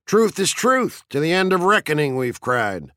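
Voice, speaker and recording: A man's voice: fairly high pitch, very animated, moderate pace, bright, forceful tone, no echo, clean studio sound, voice pushed up. A man reading an audiobook as a grandiloquent fire chief, needling and mock-jovial, with a sly, gleeful, declamatory delivery. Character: Beatty, taunting, grandiloquent male fire chief, slyly gleeful bully. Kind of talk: audiobook character acting.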